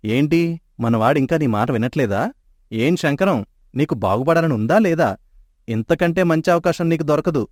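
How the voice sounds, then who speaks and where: An actor playing a schemer's mocking sidekick in a storybook tale, sarcastic and pushy. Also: male voice, a little high, lively intonation, moderate pace, no echo, studio quality, natural voice.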